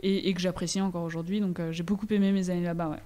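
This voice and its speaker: A woman's voice: lowish voice, little pitch movement, racing, dark tone. Candid young Frenchwoman, searching for words. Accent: French from France. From France. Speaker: interview guest. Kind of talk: casual conversational interview, personal account.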